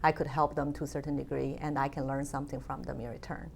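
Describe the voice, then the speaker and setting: Low, gravelly woman. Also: level tone, a little hiss or hum. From China. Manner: thoughtful, measured, frequent fillers. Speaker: interview guest, alumna. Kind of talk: conversational interview.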